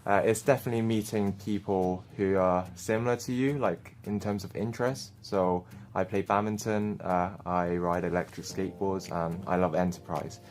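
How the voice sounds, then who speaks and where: A man in his twenties, an election candidate, answering questions in a relaxed, slightly hesitant way. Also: deep voice, moderate pace, few pauses. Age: 20s. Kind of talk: casual interview answers.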